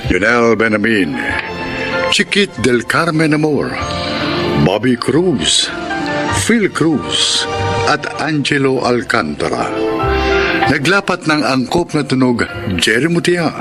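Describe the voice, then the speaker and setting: A man's voice: mid-range voice, big pitch swings, slow, long pauses, loud music behind the voice. A man with a Filipino accent, announcing and hosting a radio drama in scripted narration. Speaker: host and announcer.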